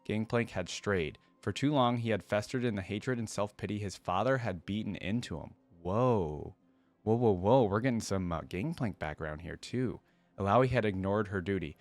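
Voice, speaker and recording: A man's voice: on the low side, no echo. A man hosting a reaction video, reading a story aloud and commenting casually as he goes.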